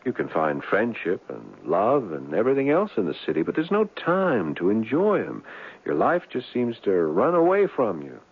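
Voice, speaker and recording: An actor playing an earnest, smooth-talking young man, a reformed crook courting sincerely and eagerly, in an old-time radio drama. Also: lowish voice, huge pitch swings, normal speed, exaggerated voice. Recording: muffled phone-like vintage radio sound.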